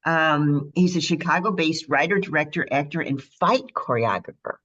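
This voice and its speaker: A woman's voice: sits low, moderate pace, a bit bright, highs cut off. A woman with a Midwestern accent hosting a radio interview, chatty and bubbly, with frequent fillers. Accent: Midwestern American. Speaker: radio show host.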